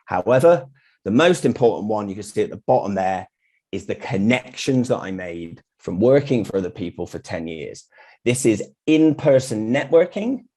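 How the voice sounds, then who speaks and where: Middle aged british guy doing a casual meetup talk, lowish voice, pretty blunt and straight up. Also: darkish tone. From United Kingdom. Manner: candid, blunt, mildly sweary. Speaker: freelance graphic designer giving a meetup talk. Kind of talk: informal presentation with personal anecdotes.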